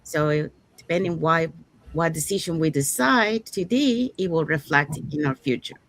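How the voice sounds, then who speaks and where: Woman, from Panama, online show host, lowish voice, dry close sound, upbeat. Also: moderate pace, no room sound. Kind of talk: livestream talk show hosting.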